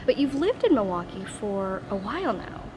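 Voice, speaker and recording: A woman's voice: medium pitch, big pitch swings, moderate pace, darkish tone, rough recording. A woman radio reporter interviewing a shop owner inside a grocery store, asking friendly, curious questions. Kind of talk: conversational interview. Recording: on-location with background noise.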